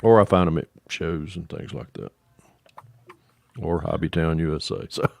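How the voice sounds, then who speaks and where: A man's voice: a bass voice, fairly even intonation, moderate pace, vocal fry. A man in his sixties hosting a casual chat, telling a drawling, easygoing story. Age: 60s. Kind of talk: casual chat on a live stream.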